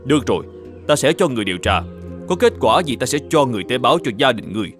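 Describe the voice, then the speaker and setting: A male actor plays a resolute magistrate in a cartoon folk tale. His mid-pitched voice moves widely in tone, formal and commanding, with music underneath. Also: big pitch swings, natural voice. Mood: grave, composed. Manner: authoritative, measured, formal. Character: upright, determined provincial governor and magistrate.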